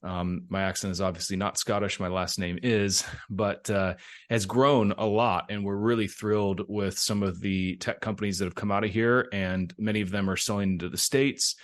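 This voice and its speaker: A man's voice: on the low side, steady tone, moderate pace. A middle-aged man, a tech executive and interview guest, explaining things conversationally.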